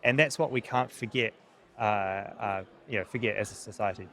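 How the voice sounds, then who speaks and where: A man in his thirties with a New Zealand accent answers interview questions earnestly, often pausing and repeating words as he thinks. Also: lowish voice, pushed and bright. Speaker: technology company founder, interview guest.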